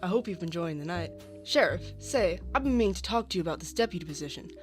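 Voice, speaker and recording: A glib, entitled young gentleman voiced by a woman, pushy and smooth-talking. The voice swoops widely in pitch, with faint music behind it in a radio drama. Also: expressive, fast, lightly acted. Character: mayor's pushy, entitled young adult son. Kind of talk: audio drama acting.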